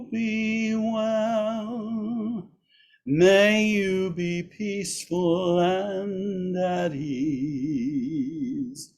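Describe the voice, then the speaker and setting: A man with a raspy, hoarse voice leading a Buddhist service online, slowly intoning chants and gentle instructions. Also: sits high. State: hoarse after surgery. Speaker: Buddhist teacher leading practice. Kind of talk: leading a chanted metta practice.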